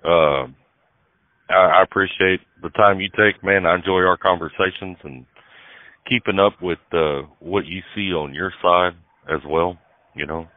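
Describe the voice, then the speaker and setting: Male host, very deep voice, folksy and chummy, casual interview talk over a narrow, dry phone line. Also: steady tone, unhurried, no room sound. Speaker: podcast host interviewing a guest. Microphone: phone call. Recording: dull, band-limited phone call recording.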